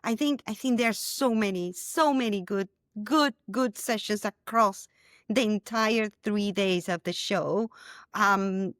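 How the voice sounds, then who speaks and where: A woman's voice: mid-range voice, a little slow, fairly bright tone, no room sound, studio quality. A woman speaking on a casual industry panel, earnest and enthusiastic, often repeating words as she searches for them. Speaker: panelist on content team.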